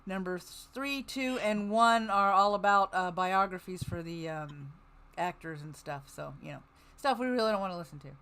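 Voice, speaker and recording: A woman with a middle-pitched voice hosts in a casual way. She moves between loose chat and plainly read show notes. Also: moderate pace, a bit bright, smooth. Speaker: host of an old-time radio listening show. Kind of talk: casual hosting, reading show notes aloud.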